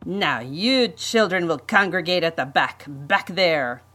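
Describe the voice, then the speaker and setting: A woman voicing a pompous, menacing male villain, a vain botanist, in a storybook reading with slow, emphatic delivery. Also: fairly low pitch, lively intonation, bright, forceful tone, exaggerated voice. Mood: haughty, scornful.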